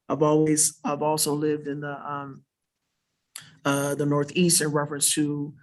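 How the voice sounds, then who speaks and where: Panelist, male, from South Florida, pitched a bit high, earnest explainer who keeps checking in with the room. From South Florida, USA. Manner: earnest and explanatory, often adds a questioning "right?" to his points. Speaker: invited panelist answering audience questions. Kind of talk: unscripted panel answer. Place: church worship center.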